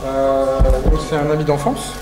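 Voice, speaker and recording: A man's voice: on the high side, little pitch movement, moderate pace, music in the background. A young man, a photographer, chatting about his photos and then thanking the guests at his exhibition opening, with many fillers. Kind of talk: casual explanation and speech to guests. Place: stone-walled gallery cellar with audience. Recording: echoey room, from far off, noisy.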